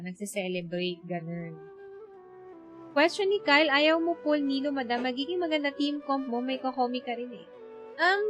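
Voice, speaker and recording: A woman's voice: high-pitched, sharp tone. A woman with a Filipino accent giving casual livestream gameplay commentary, switching between Tagalog and English. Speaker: game streamer. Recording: faint music behind the voice. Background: game music.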